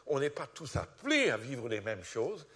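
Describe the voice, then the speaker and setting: A man from France giving a sermon as a pastor, animated and rising to loud peaks, often repeating words as he starts sentences. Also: mid-range voice, moderate pace. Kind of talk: testimony sermon.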